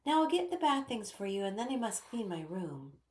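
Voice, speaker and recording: A bright, earnest young girl from a storybook, polite and eager to please, as a woman puts her on. Her voice swoops high with lively pitch and has a roomy echo. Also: brisk, close to an everyday voice.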